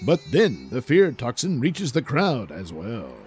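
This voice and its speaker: A man's voice: medium pitch, huge pitch swings, a little slow, crowd noise. A man narrating a comic audio play in a mock-dramatic comic-book style. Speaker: narrator and creator. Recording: noisy home recording.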